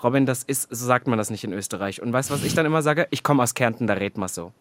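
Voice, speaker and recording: A man's voice: medium pitch, fast, fairly bright tone, dry recording. A German man hosting a podcast, chatting casually and teasing his guest. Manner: playful, teasing. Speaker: podcast host. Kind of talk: casual chat.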